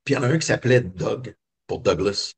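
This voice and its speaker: Un homme québécois, invité d'une entrevue, qui raconte son enfance d'un ton réfléchi, avec beaucoup d'hésitations. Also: male voice, fairly low pitch, expressive, brisk, husky. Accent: Québécois French. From Quebec. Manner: reflective, many hesitations and fillers. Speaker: guest. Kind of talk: personal storytelling interview.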